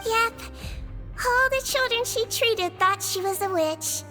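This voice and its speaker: A woman voicing a small, girlish, gentle nurse in a video game, earnest and sweetly matter-of-fact. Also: extremely high pitch, slow pace, heavily acted. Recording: music behind the voice.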